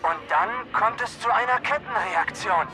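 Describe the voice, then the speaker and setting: An actor playing a sceptical pilot sidekick in a video game, wry and bantering. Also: male voice, high-pitched, normal speed, lightly acted. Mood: sceptical, excited. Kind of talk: dramatic video game acting. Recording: loud music behind.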